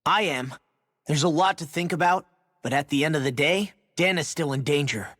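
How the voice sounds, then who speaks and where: A man's voice: a little high, moderate pace, a bit bright, no echo, studio quality. A man voicing a blunt, scrappy young fighter in a video game, plain-spoken and punchy. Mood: frustrated, determined. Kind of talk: scripted video game voice acting.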